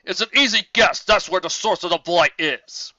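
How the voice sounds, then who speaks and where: Booming, high-pitched jolly griffin commander. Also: male voice, moderate pace, pushed and bright, highs cut off, full character voice. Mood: emphatic, reporting. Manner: hearty, exclamatory, posh officer phrasing.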